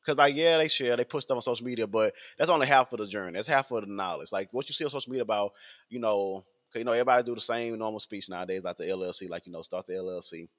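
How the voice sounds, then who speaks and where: A man's voice: lowish voice, very fast, a bit bright. A man, talking in a rambling casual podcast monologue full of fillers and repeats. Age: 20s.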